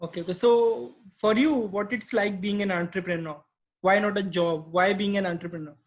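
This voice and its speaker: A man's voice: high voice, steady tone, moderate pace. Man asking simple interview questions. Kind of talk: casual interview.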